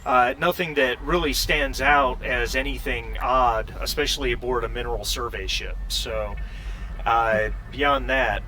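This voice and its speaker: A man's voice: mid-range voice, sharp tone, slight background noise. A man working as game master, narrating a tabletop role-playing game in a conversational, somewhat hesitant way.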